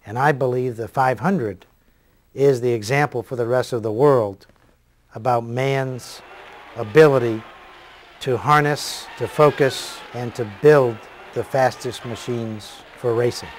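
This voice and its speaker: A middle-aged American man reflecting in a documentary interview, speaking deliberately in short, emphatic phrases. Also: mid-range voice, slight background noise. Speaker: interviewed commentator. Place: indoor room, filmed interview.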